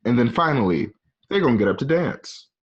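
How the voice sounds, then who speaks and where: A man informally narrating stage directions for a script in a halting, casual way. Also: medium pitch, very animated, breathy edge. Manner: halting, casual, present-tense scene description. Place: at home. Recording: dull, lo-fi home recording.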